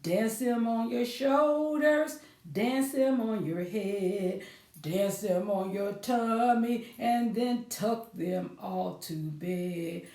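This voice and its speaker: A woman's voice: mid-range voice, extremely slow, warm tone. A woman presenting a children's storytime with rhymes and stories, in a sing-song, coaxing way that invites children to join in. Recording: roomy, echoing sound, distant from mic.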